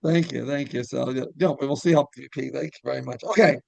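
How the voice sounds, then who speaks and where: A man's voice: medium pitch, fast, gravelly, darkish tone, dry recording. A man hosting a casual interview, talking in a rambling, repetitive way. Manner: rambling, repeats phrases, griping about pet peeves. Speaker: interview show host.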